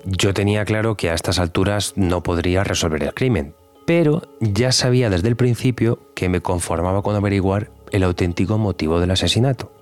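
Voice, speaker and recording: A man playing an earnest Civil Guard officer giving measured, reflective testimony in a podcast drama. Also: sits low, a little mellow, faint music behind, close to an everyday voice. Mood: resolute. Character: earnest male Civil Guard officer chasing cold case.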